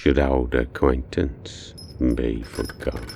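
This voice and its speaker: A shackled boy in a horror audio drama with a startlingly deep voice. He sounds cocky and amused, far too low for his age. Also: little pitch movement, slow, creaky, a little mellow, slight background noise, full character voice. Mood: cocky amusement. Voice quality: unnaturally deep. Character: cocky possessed-sounding thirteen-year-old prisoner boy, unnaturally deep-voiced.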